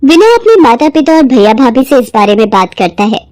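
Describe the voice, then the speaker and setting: A woman's voice: a little high, very animated, a little fast, a little mellow, no room sound, clean studio sound. A woman narrating a scripted story as its storyteller.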